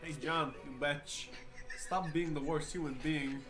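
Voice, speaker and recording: A man's voice: fairly high pitch, fairly even intonation, unhurried, natural voice. Man as smug Garfield cat over prominent music. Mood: scornful.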